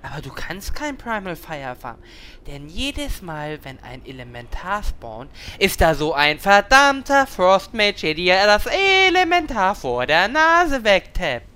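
A man playing a needling little gnome warlock in a parody audio drama, talking in an animated, relentless way. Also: high-pitched, measured pace, smooth, full character voice. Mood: mock-harrowing recounting.

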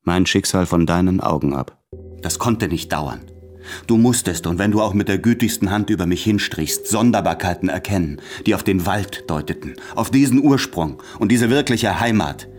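An actor playing a tormented, tender male letter writer in a literary radio play, anxious and introspective, speaking in measured phrases with deliberate pauses. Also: low-pitched, expressive, darkish tone, faint music behind. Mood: confessional, wistful.

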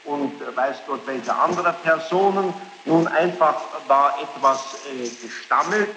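An archival tape from a big, very echoey room, heavy with noise. A man with a fairly high voice conducts a formal interrogation. He presses insistently, in long, halting sentences. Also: pushed and bright. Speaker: interrogator questioning a witness. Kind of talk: formal courtroom-style questioning. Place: large reverberant room. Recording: noisy echoing archival tape of hearing.

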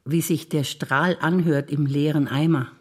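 A woman's voice: low-pitched, a little slow. Woman actor evenly reciting memories. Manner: plain, even recitation. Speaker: stage actor. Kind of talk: theatre performance of spoken memories.

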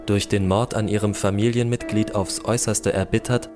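An actor playing a sober chronicler in a literary radio play, formal and matter-of-fact, with music behind him. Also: male voice, fairly low pitch, fairly even intonation, normal speed, natural voice.

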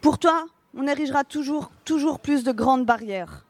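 A woman reciting spoken-word poetry in a measured, rhythmic way with deliberate articulation. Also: sits high.

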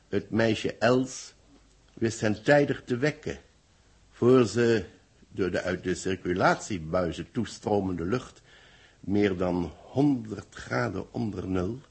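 A man in a radio drama playing a grave, weary middle-aged official who speaks in solemn, measured announcements. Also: fairly low pitch, slightly rough. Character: grave, weary male official announcing tragedy to a doomed world. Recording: vintage 1960s radio drama recording.

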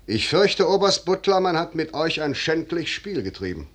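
An actor playing a shrewd, commanding, noble general in a 1950s German radio drama, speaking in measured, deliberate declamation. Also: male voice, on the high side, sing-song. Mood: grave, revealing.

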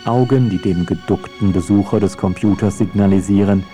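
Low-voiced man narrating with dry irony. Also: normal speed, mellow, faint music behind. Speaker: narrator of a radio feature. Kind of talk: scripted narration, ironic essay.

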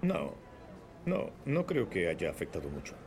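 A man's voice: low-pitched, huge pitch swings, a little slow, vocal fry, darkish tone, soft background music, full character voice. A man dubbing a friendly, easygoing investigative team editor in a Spanish film dub.

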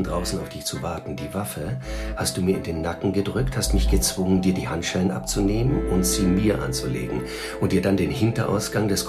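An actor playing a calm, commanding police commissioner in a radio crime drama, speaking in a terse, dry, authoritative way. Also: male voice, deep voice.

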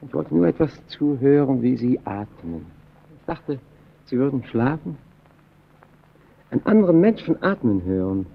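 An actor playing a lonely, wry, quietly defiant man in a German radio drama, on a muffled old recording. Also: mid-range voice, sing-song, moderate pace, creaky, long pauses. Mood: tender, confiding.

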